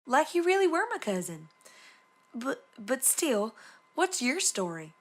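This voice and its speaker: A woman's voice: high voice, sing-song, unhurried, heavily acted. A woman voicing a folksy, plainspoken female farm pony in a cartoon story. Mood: earnest, puzzled.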